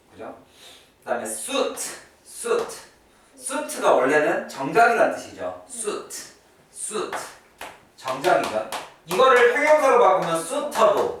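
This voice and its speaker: A man's voice: on the high side, fairly bright tone. Male classroom English teacher, chatty, swinging intonation, recorded at a distance in a very reverberant room. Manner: chatty, checks in with students often. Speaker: English teacher at a private academy. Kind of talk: classroom lesson, explaining vocabulary and grammar. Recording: echoey room, distant mic, faint noise.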